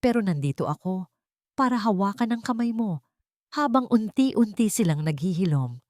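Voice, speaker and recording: A woman voicing a gentle, sincere male doctor in love, in an audio romance story. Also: mid-range voice, animated, moderate pace, no echo, studio quality, voice pushed up, lightly acted. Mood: tender.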